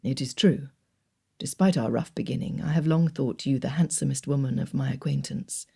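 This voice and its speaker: A woman voicing a reserved young gentleman suitor who speaks low, hushed and earnest. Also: fairly even intonation, moderate pace, vocal fry, breathy edge, exaggerated voice.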